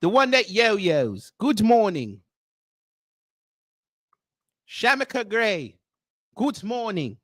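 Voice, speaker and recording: A pompous, jet-setting self-styled prince with a put-on Nigerian accent, as a man acts him out for a livestream. He talks in a high, slow, deliberate way, formal and grandiose. The recording is close and dry. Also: big pitch swings, a bit bright, no echo, exaggerated voice. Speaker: livestream host. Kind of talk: comedic character livestream.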